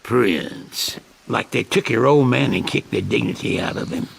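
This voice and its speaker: An actor playing a crotchety old garage owner in a video game, gruff and scornful, with a folksy way of talking. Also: male voice, mid-range voice, heavily acted. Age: older. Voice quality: gravelly, husky. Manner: slow, gruff, folksy drawl.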